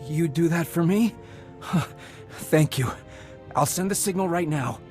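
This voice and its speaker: An anxious, earnest stranded survivor played by a male actor in a sci-fi game. His voice sits fairly high for a man, and he speaks haltingly, with faint music behind. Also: natural voice. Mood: grateful. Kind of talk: video game voice acting.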